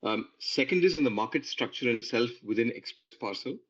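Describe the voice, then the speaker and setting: Man analyst asking stuff on an earnings call, polite and kinda halting, mid pitch, dull muffled line. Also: expressive, fairly bright tone. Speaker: financial analyst asking questions.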